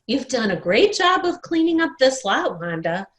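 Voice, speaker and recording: A woman's voice: high voice, big pitch swings, moderate pace, a bit bright, pitched above the performer's own voice. A woman voicing a helpful, praising but puzzled next-door neighbor woman in a storybook.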